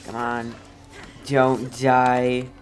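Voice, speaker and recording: Very low-voiced woman streaming a game. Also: monotone, very slow. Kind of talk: casual reactive gameplay commentary.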